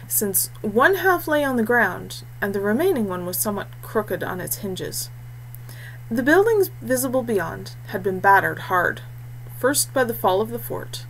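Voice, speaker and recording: Female audiobook narrator, scripted fiction, mid-pitched voice, noisy home-style recording with hum. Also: a bit bright. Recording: clearly noisy background hum.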